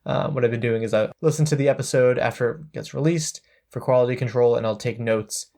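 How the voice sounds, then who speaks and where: A man's voice: mid-range voice, fairly quick, creaky, darkish tone. A man hosting a podcast, chatting casually in an easygoing, upbeat way. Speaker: podcast host. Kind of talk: casual podcast chat and outro.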